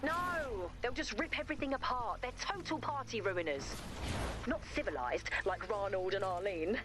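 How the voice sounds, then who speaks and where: A woman's voice: mid-range voice, lively intonation, moderate pace, background noise, close to an everyday voice. A woman playing a spirited, bossy, romantic young heroine in a video game, with an emphatic, upbeat delivery. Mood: breezy, self-satisfied. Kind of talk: video game character voice acting. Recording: loud music behind the voice.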